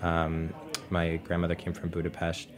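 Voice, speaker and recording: Young American man, low voice, halting chat. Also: completely flat delivery, a crowd in the background. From Florida, USA. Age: 20s. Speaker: chef, interview guest. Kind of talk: casual chat. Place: radio studio.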